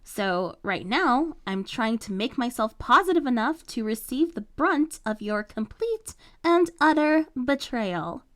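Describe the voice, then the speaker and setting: A woman voicing a spoiled, scheming teenage rich girl with a high, whiny, sneering voice. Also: animated, a little slow, few pauses, a bit bright. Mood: sarcastic. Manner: sneering, self-pitying.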